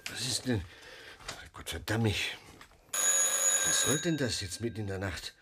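An actor playing a gruff, cynical middle-aged father in a radio drama, telling his tales emphatically and with foul-mouthed agitation. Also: fairly low pitch, animated, unhurried, heavy background noise. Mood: angry, cursing.

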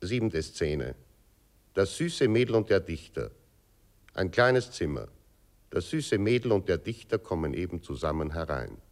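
Low-voiced male radio play announcer. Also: sing-song, measured pace. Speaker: announcer reading scene headings. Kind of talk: scripted narration of stage directions.

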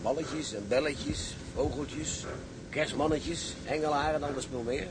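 An actor playing a wry, grumbling, incredulous sailor in a Dutch radio drama. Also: male voice, fairly high pitch, steady tone, a little slow, natural voice. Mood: exasperated. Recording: clear background noise, ship ambience.

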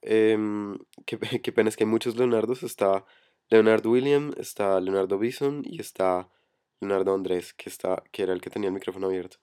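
A hoarse man with a cold, running an online voice chat meeting and speaking hesitantly with many fillers. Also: fairly low pitch, a little fast, a little mellow. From Colombia. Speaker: meeting coordinator. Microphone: computer headset for voice chat.

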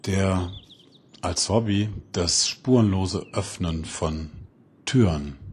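A man speaking a halting, descriptive recorded note. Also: sits low, darkish tone. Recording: faint noise, ambient sound.

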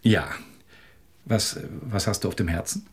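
An actor in a German radio drama playing a guilt-ridden married man, hesitant and apologetic as he confides, with a husky, creaky voice. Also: on the low side, unhurried. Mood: uneasy, apologetic. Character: guilt-ridden married man nervously confessing a secret affair.

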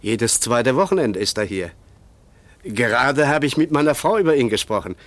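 A man's voice: mid-range voice, expressive, normal speed, natural voice. An actor playing a plain-spoken, homely and slightly wary male country innkeeper in a radio crime drama. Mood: chatty, obliging.

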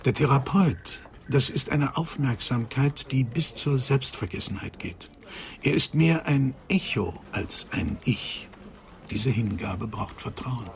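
Elderly man, psychoanalyst, earnest, reflective, emphatic, radio crime drama, medium pitch, some background noise. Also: expressive, slow pace, vocal fry. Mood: reflective, admiring. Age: older.

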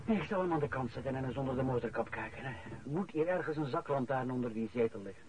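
An actor with a Flemish accent playing a grumbling, easygoing middle-aged director in a radio drama, blunt and wry. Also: male voice, mid-range voice, lively intonation, fast talker, a crowd in the background, lightly acted. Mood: practical, wry. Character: grumbling, easygoing older director five years from pension. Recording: thin, band-limited, noisy radio recording.

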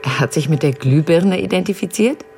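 A patient's great-niece in a radio play acted by a woman, with a very low female voice. She is inquisitive and thoughtful, feeling her way through questions, with music in the recording. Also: a little fast, darkish tone, close to an everyday voice. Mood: puzzled, curious. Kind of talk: radio drama dialogue.

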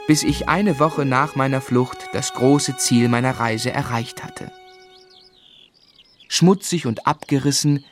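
An actor voices a man remembering his boyhood for a radio play. His mid-pitched voice tells the story in wistful, literary phrases. Light music plays behind him. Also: natural voice. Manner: literary, reflective, measured storytelling. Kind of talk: scripted first-person narration in a German radio play.

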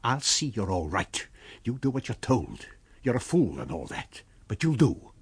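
A domineering invisible villain from a storybook, voiced by a man with a low, rough-edged tone. He speaks tersely and with menace, giving orders close to the listener in a dry recording. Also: huge pitch swings, measured pace, no room sound, heavily acted. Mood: impatient, commanding. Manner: commanding, threatening, terse.

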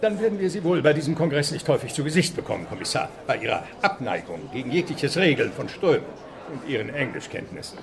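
A man's voice: fairly high pitch, big pitch swings, fast talker, gravelly, crowd noise. A man in a radio drama playing a dry, learned French police deputy who interprets with wry, formal precision. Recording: noisy outdoor street ambience.

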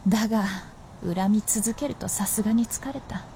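A woman's voice: medium pitch, moderate pace, warm tone, slight background noise, lightly acted. A woman acting as a proud, vengeful and teasing fox princess in a radio drama, speaking in an archaic, imperious way. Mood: vengeful, weary. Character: proud old-fashioned vixen spirit princess, vengeful and teasing.

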